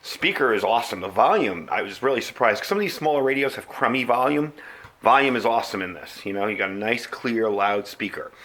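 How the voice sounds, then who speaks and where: A man's voice: lowish voice, animated, brisk, fairly bright tone, poor audio. Casual middle-aged American man reviewing gear. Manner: conversational, explanatory. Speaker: product reviewer. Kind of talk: casual product review and demo.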